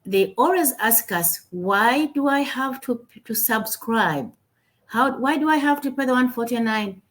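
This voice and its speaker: A woman's voice: fairly high pitch, animated, unhurried. A woman from Uganda hosting a livestreamed business talk, upbeat, with many fillers and repeated words. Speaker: webinar host. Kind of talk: casual livestream chat. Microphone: home computer mic on a video call.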